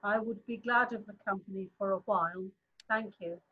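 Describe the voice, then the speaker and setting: A woman playing a grave, gentle, sorrowful great lion in a screenplay read-through. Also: mid-range voice, normal speed, breathy edge, phone-quality sound, lightly acted. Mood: solemn, gentle.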